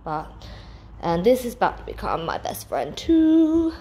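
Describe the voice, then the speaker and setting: A woman's voice: medium pitch, big pitch swings, measured pace, dark tone. Chatty young woman filming in a gym. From England. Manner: chatty, upbeat, with ums and restarts. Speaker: personal trainer. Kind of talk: casual vlog walkthrough. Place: large indoor gym. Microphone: phone held at arm's length. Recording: reverberant gym hall with faint ambience.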